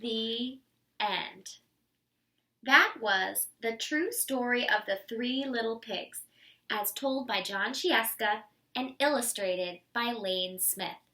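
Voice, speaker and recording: A woman with a high, bright voice talks straight to young children as a read-aloud host. She takes her time, recorded a little way from the mic. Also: slow pace. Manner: addresses young children directly. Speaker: elementary school educator reading aloud. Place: at home. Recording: voice some distance from the mic.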